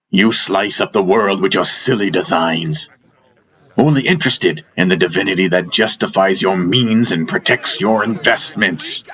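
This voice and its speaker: A man's voice: sits low, fairly bright tone, breathy edge, exaggerated voice. A man voicing a stern, scornful spiritual master who rebukes rulers in a declamatory, reproachful manner for an audiobook.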